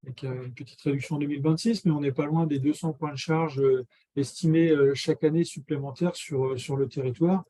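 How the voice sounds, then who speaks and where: A man with a soft-edged, mellow voice, a little higher than most men's, explains material as a presenter. He moves forward haltingly, searching for words. The recording is dry, with hardly any room sound. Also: steady tone, no room sound.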